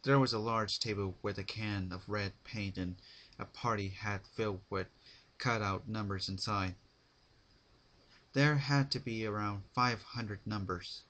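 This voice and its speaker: A man giving a dramatic scripted story narration, phrased deliberately in short, halting chunks. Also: on the low side, fairly even intonation, a bit bright.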